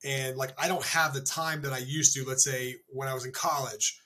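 40s guy on a video call, bright but kinda husky voice, earnest and thoughtful. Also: mid-range voice. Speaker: birding podcast co-host. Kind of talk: casual chat. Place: home office. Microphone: video call webcam.

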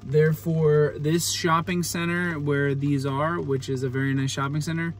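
A man's voice: fairly high pitch, flat delivery, moderate pace. A young man in his twenties casually narrating a road trip vlog, explaining things as he films from the car and outdoors. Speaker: vlogger. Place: inside a car at night, then outdoors at a charging station in the rain.

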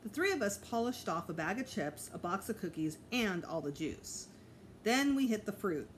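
A woman's voice: mid-range voice, big pitch swings, moderate pace. A middle-aged woman reading a children's story aloud like a teacher to pupils, expressively and with small slips and self-corrections. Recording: clear background noise, home recording.

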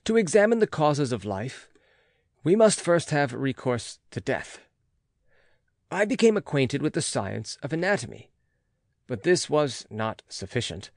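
A man reading a classic gothic novel as an audiobook narrator, in a measured, formal and dramatic delivery. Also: medium pitch, sing-song, dry recording, studio quality.

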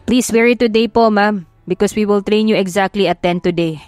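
A woman's voice: medium pitch, moderate pace, a little mellow, no echo, natural voice. Woman acting a polite Filipino male manager. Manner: courteous, businesslike. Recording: music behind the voice.

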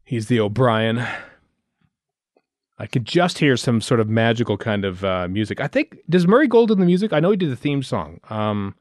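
A man's voice: mid-range voice, sing-song, moderate pace, a little mellow, dry recording, clean studio sound. A man chatting casually and thinking aloud as a podcast host. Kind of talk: casual fan commentary chat while watching a show.

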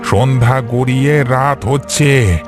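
A man voicing a stern, ominous village caretaker in a horror cartoon, speaking gravely. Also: medium pitch, music in the background, lightly acted. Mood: grave warning. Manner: slow, grave, warning.

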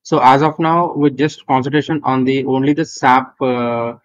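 A man with an Indian accent presenting an unscripted software walkthrough, halting and repetitive as he gives step-by-step instructions. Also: medium pitch, fairly even intonation. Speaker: tutorial presenter. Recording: dull lo-fi voice recording.

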